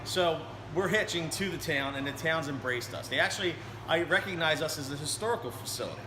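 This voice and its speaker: A man's voice: on the high side, fairly quick. A man who co-owns a small brewery, talking casually in an interview and telling his story with restarts. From Berlin. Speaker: brewery co-owner. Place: brewery taproom. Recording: echoey room with noise.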